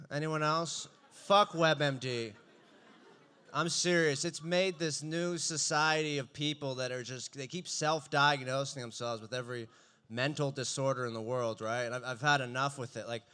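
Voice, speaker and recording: A young man doing a stand-up comedy set, with a dry, deadpan delivery that breaks into laughs. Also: fairly high pitch, clear, resonant tone.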